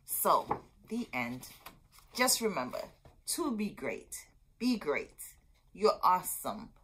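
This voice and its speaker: A middle-aged woman hosting a storytime, reading a picture book aloud expressively with dramatic, deliberate phrasing and asides to her listeners. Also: lowish voice, big pitch swings, noisy background. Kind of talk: expressive children's read-aloud. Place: small indoor room with bookshelves.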